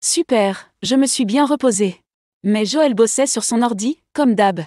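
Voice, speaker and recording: Woman playing informal female friend, highish soft-toned voice, chatty, dry sound. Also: unhurried, no echo, lightly acted. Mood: relaxed, chatty. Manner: informal, colloquial. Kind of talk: scripted exam dialogue.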